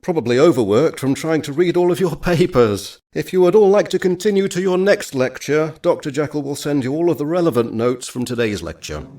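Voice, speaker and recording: A man playing a paternal, authoritative older Victorian gentleman with a creaky voice, in stage drama style. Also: fairly high pitch, expressive, fairly quick, few pauses, no room sound, studio quality, lightly acted. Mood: stern, concerned.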